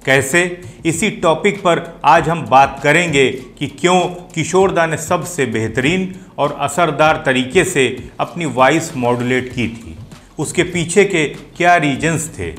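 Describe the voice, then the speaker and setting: A man's voice: fairly high pitch, slow pace, a bit bright. A man hosting and explaining things to viewers in video commentary. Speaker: host of a film music show. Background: music playing behind the voice.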